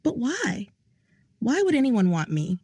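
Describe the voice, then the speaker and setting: A frightened young woman speaks in high, pleading bursts, as a woman narrator puts her on. Her questions come breathless and anxious in this story reading, the sound dry and close. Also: big pitch swings, unhurried, mellow, slightly rough, no room sound, full character voice. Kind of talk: audio drama reading.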